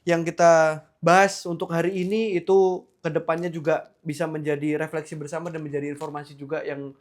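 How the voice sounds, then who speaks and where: A man's voice: a little high, little pitch movement, brisk. A young man from Java hosting a casual podcast interview, chatty and laughing often, with many fillers. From Java, Indonesia. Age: young adult. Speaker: podcast host.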